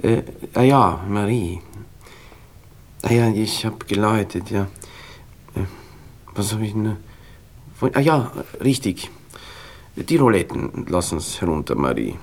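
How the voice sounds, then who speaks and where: An actor playing a nervous, well-off young gentleman with a Viennese accent, halting and distracted, in a radio play. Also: on the low side, very animated, gravelly, full character voice. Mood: flustered. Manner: halting, distracted, faintly bossy.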